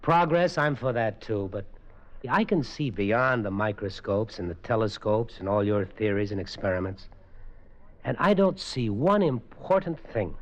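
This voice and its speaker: An actor playing a thoughtful man with trained super senses, narrating and talking in a 1950s radio drama. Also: a little slow. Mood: earnest. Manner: earnest, thoughtful, lively in pitch. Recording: dull old radio broadcast with faint music.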